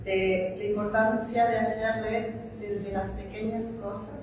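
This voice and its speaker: A woman's voice: mid-range voice, measured pace. Ecuadorian woman speaking from afar in an echoey room. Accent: Ecuadorian Spanish. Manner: calm, hesitant, with fillers. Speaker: artist on a panel. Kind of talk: brief self-introduction. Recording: echoey room, far from mic, clear noise.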